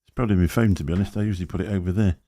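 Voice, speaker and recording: A man's voice: steady tone, rapid-fire, gravelly, dark tone. A man, hosting a casual live stream and rambling from topic to topic into a desk microphone. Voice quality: deep booming voice. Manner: rambling, chatty, chuckling to himself. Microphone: desk microphone through a mixer.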